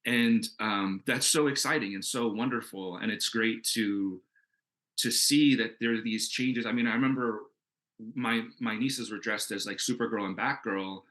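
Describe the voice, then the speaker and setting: A man's voice: on the low side, moderate pace, fairly bright tone, rough recording. A man speaking as a comics creator on a panel, casual and earnest, talking in an enthusiastic, rambling way.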